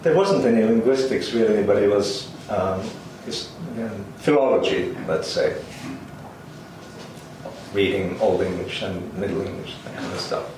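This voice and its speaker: An older man from Finland who grew up speaking Swedish, Finnish and German, recalling his life in an interview with hesitant, halting speech. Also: fairly low pitch. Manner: hesitant, many ums and restarts, chuckles. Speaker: interviewee, linguist. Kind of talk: oral history interview. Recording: roomy, distant, faint hiss.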